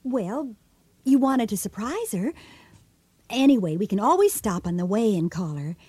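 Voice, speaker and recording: An actress playing an earnest, anxious wife with a hesitant, expressive manner in a radio drama. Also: female voice, fairly high pitch, moderate pace, husky, no echo.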